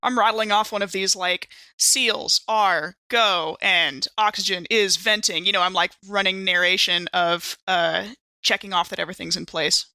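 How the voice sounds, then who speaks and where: A woman with a bright, forward voice and a mid-range pitch, recorded dry and close. She talks casually and with enthusiasm as a tabletop game player. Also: fairly even intonation, moderate pace, few pauses, no echo.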